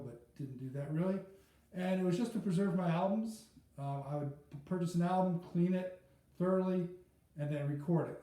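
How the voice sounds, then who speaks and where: A man's voice: fairly high pitch, dark tone. A middle-aged or older man chatting casually and unscripted about his hobby, rambling and hesitant as he thinks aloud. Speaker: audiophile hobbyist vlogger. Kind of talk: casual unscripted product chat. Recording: very echoey room, muffled tone.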